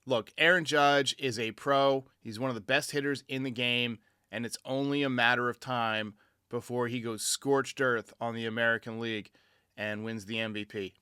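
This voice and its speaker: A man's voice: mid-range voice, steady tone, moderate pace, sharp tone, clean tone, no echo, clean studio sound. A man hosting a baseball fan show, giving his commentary in answer to callers. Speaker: sports show host.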